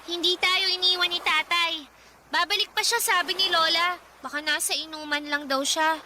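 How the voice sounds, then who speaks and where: Radio drama child: naive Filipino little girl, extremely high, bright, faint noise behind. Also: fairly even intonation, moderate pace, heavily acted. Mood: insistent, hopeful. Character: hopeful, naive little girl, the younger sibling. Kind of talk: Tagalog radio drama acting.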